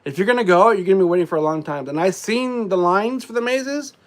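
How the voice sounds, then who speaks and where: Congested male streamer with a slightly high voice, loose rambling delivery full of ums. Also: quick pace. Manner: rambling, frequent fillers and throat clearing. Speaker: live streamer. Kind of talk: casual live stream chat.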